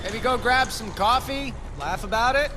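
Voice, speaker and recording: A high-voiced, wisecracking young superhero. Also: male voice, normal speed, noisy background. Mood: jokey. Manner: quippy, sarcastic. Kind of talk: video game voice acting.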